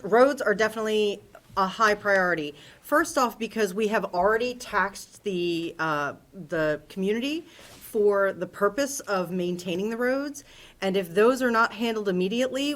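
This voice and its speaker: A woman from New answering as a candidate at a town forum, earnest and measured, with frequent ums. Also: medium pitch, fairly bright tone.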